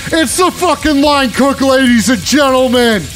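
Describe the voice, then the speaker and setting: A man's voice: very high voice, a little slow, fairly bright tone, faint music behind. A man voicing a manic, swearing wrestling radio host who announces fighters with bluster. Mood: hyped. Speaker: show host.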